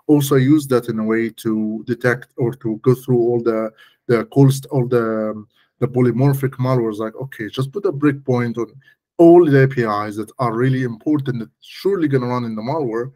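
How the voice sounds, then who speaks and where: A man's voice: medium pitch, mellow. A man speaking as a guest expert, giving casual, emphatic, step-by-step technical explanations in conversation. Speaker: guest malware analysis expert.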